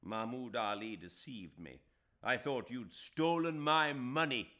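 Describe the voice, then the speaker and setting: A man's voice: mid-range voice, measured pace, bright, forceful tone, pitched above the performer's own voice. A man voicing a proud, wounded Indian man who bursts into bitter, impassioned declarations in an audiobook. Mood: furious.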